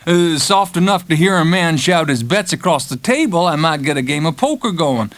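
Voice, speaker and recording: A man's voice: on the high side, animated, normal speed, fairly bright tone, voice pushed up. A man voicing a brash, brawling American gambler with folksy, blunt, wheedling speech in an audiobook. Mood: exasperated, pleading. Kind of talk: audiobook character acting.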